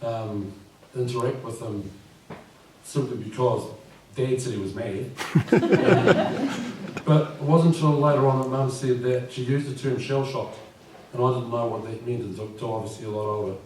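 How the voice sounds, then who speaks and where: A man in his fifties with a croaky voice, reading his poems at a live event and speaking gently and unhurriedly. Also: medium pitch, moderate pace, slight background noise. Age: 50s. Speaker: poet. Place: live venue with audience. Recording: echoey room from far off.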